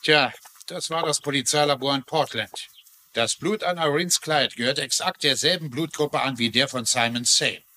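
An actor playing a folksy middle-aged sheriff in a TV detective drama, with hesitant hems and official phrasing. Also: male voice, medium pitch, moderate pace, sharp tone, close to an everyday voice. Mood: grave, accusing. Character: folksy middle-aged small-town sheriff, plodding but dutiful. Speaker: actor in a dubbed crime drama. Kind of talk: German-dubbed TV detective drama acting.

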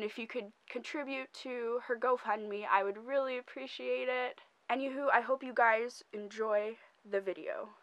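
A young woman talks straight to the camera in a small bedroom, her voice high and bright. She takes her time, easing along with little laughs and ums. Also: slight background noise, poor audio. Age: young adult. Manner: chatty, with soft laughs and fillers. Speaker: vlogger. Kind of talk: casual chat to camera.

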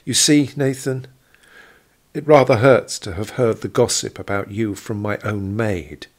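A cold, haughty lady of wealth , as a man acts her out in a period audio drama. She speaks slowly and deliberately, full of disdain. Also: lowish voice, fairly even intonation, pitched above the performer's own voice. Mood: cold, imperious.

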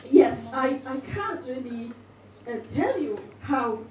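A young woman from Poland with a Polish accent doing a stand-up set in character as a cleaning lady, talking in a chatty, halting way full of fillers and giggles, on a small club stage with a handheld mic. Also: sits high, expressive, a little mellow. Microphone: handheld mic, heard from a distant camera. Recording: roomy club sound from far off with audience noise.

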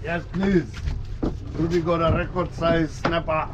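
Older man, excited boat fishing commentary, slow drawn-out talk, a bit high, loud engine and wind noise behind. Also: dull audio. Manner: coaching, repeats short calls. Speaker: fishing guide filming the trip. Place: open boat at sea. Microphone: action camera on board. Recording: noisy boat and sea wind.

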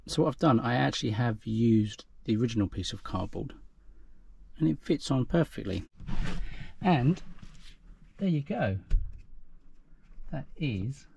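A man's voice: mid-range voice, gravelly, darkish tone. Guy in his 40s talking through a diy job in his car, chatty, jokes at himself, faint hiss. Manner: chatty, self-deprecating, thinking aloud. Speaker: vlogger. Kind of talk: casual how-to narration. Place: inside a parked car. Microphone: action camera mounted in the car.

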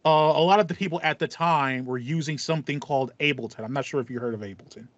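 A man's voice: on the high side, fast, dry recording, highs cut off. A young man hosting a podcast, chatting casually and acting out his reactions as he tells stories. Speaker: podcast host. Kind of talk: casual chat. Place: small home room with foam panel, indoors.

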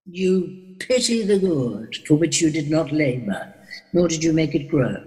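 A woman's voice: deep voice, expressive, a little slow, dark tone. An older woman voicing a grave, reproving God in a solemn, stately scripture drama. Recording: long cavernous reverb.

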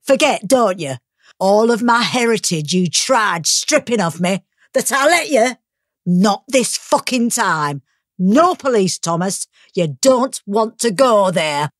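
A woman's voice: mid-range voice, animated, slow, no echo, studio quality. An actress playing a hard, defiant mother in an audiobook drama, scornful and firm. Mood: bitter, defiant.

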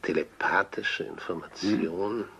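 A man's voice: sits low, takes it slowly, creaky, sharp tone, slight background noise, exaggerated voice, rough recording. An actor playing an older retired civil servant in a radio crime drama, a man listening attentively and responding in a questioning, hesitant way. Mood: puzzled.

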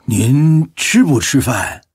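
An actor playing a concerned, earnest village man in an audio drama, with a husky voice. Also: medium pitch, lively intonation, takes it slowly, a little mellow, no echo, clean studio sound, exaggerated voice.

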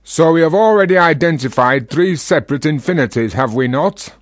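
An actor playing a talkative, teasing Yorkshire freethinker in a radio play, with a rhetorical, provocative manner. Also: male voice, fairly high pitch, animated, brisk, no room sound, natural voice. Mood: argumentative, expansive.

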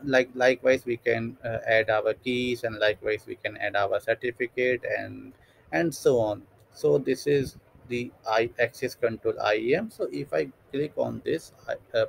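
A man's voice: mid-range voice, creaky, a little hiss or hum, low-quality recording. Man talking through a screen tutorial. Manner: thinking aloud, stepwise, with frequent fillers and restarts. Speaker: tutorial instructor. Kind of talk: screen-recorded software tutorial.

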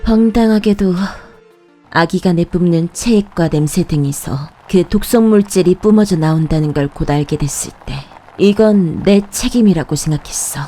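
A woman playing a brooding witch, narrating a dark fairy tale in a measured, ominous, matter-of-fact manner. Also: on the low side, soft background music, lightly acted. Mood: regretful. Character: brooding witch who raises a child in hiding. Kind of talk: scripted first-person horror fairy-tale narration.

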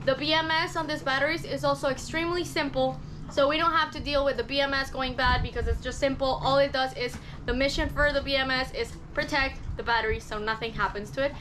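High-voiced woman in her 30s chatting to a handheld cam in a cramped boat cabin, noisy background. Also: steady tone, fast talker. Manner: chatty, self-doubting, explains with hand gestures. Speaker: vlogger. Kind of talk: casual vlog explanation. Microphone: handheld camera at arm's length.

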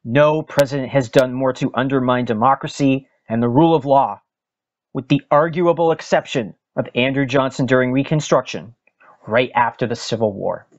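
Male podcast host, mid-pitched, solemn and emphatic political oratory, slightly muffled lo-fi sound. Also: moderate pace. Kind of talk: dramatic reading of a political opinion article.

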